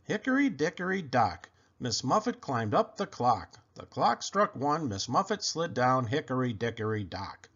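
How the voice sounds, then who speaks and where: Man, children's storybook narration, very lively intonation, expressive, slightly muffled lo-fi recording. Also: mid-range voice, normal speed. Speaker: children's book reader. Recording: dull lo-fi recording.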